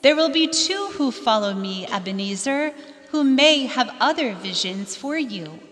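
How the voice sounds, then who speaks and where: Woman as echoing, high-voiced motherly ghost. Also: animated, unhurried, a bit bright. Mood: gentle, solemn. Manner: stately, maternal. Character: gentle, firm motherly female ghost of Christmas past. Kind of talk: stage radio play acting. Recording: long echoing reverb on voice.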